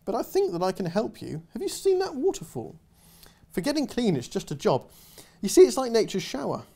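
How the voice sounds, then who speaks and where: A man's voice: sits high, huge pitch swings, a little fast, vocal fry, warm tone, voice pushed up, heavily acted. A man voicing a timid, polite little girl. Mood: helpful.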